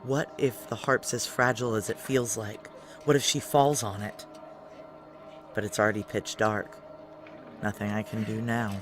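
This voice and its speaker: A man's voice: lowish voice, flat delivery, moderate pace, long pauses, music in the background, natural voice. A man playing a tough, controlled security chief who narrates a sci-fi noir audio drama in clipped, terse phrases. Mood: tense, controlled. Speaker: narrator and lead character.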